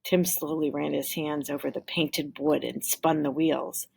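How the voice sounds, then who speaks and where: A woman reading a children's picture book aloud like a teacher, with deliberate, expressive emphasis. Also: deep voice, gravelly, breathy edge. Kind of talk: expressive storybook read-aloud.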